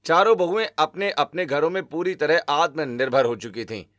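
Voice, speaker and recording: A man's voice: on the high side, normal speed, a bit bright, no room sound. A man giving scripted narration for a cartoon family story.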